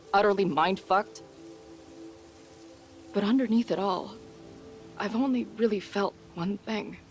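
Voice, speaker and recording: A woman playing a brash, sardonic young punk woman with a tender side in a video game drama, blunt and casual. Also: mid-range voice. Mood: tender, emotional. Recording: studio voice under background music.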